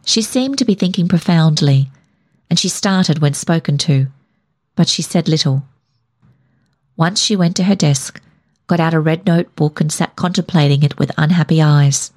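Low-voiced woman reading a literary story. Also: moderate pace, a little mellow. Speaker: story reader. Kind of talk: scripted literary narration of a short story.

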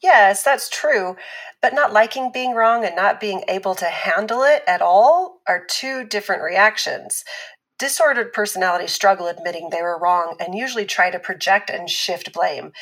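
An actress playing a calm, patient abuse survivor who explains things in a measured, instructive way in a scripted fiction podcast. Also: female voice, medium pitch, poor audio.

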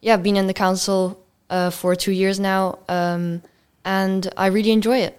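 A woman's voice: mid-range voice, level tone, a little slow, smooth. A fifteen-year-old girl from Belgium, answering questions as a student guest in an interview.

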